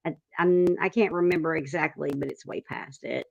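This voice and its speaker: A woman's voice: sits low, steady tone, moderate pace, gravelly, darkish tone, dull audio. A woman chatting casually as a panel host who reads out and answers viewer comments. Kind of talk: casual chat.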